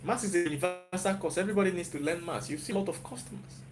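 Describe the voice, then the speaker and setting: A man's voice: high-pitched, rapid-fire, over music. A man with a Nigerian accent, a YouTuber talking to viewers in a live stream, urging them on and checking in with them again and again. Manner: motivational, repetitive, checks in with "you get?". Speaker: YouTuber and software developer. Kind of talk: live-stream pep talk.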